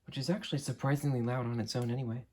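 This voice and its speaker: A young man in his late teens giving a casual, unscripted product demo in a halting, understated way. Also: medium pitch, steady tone, noisy background. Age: late teens, young adult. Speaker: hobbyist reviewer. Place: indoor room at a desk.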